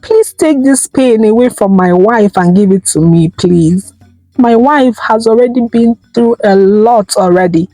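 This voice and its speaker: A woman's voice: mid-range voice, unhurried, dark tone, dry recording, faint music behind, pitched above the performer's own voice, close to an everyday voice, rough recording. A woman with a Nigerian accent voicing an anguished, faithful husband who pleads in lament in a folktale. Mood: anguished pleading.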